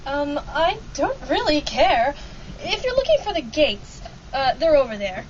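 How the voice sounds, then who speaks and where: A woman's voice: high-pitched, big pitch swings, a little slow. A woman playing a bored, curt, officious airport officer in an old-time radio drama. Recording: muffled mic, faint background noise.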